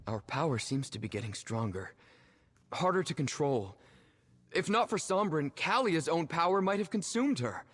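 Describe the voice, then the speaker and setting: A fairly high, very expressive young male voice, a lightning mage in a fantasy game. He comes across as cautious and earnest, a protective brother who hesitates over his own power. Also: normal speed, husky, full character voice. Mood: uneasy. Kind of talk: fantasy video game dialogue.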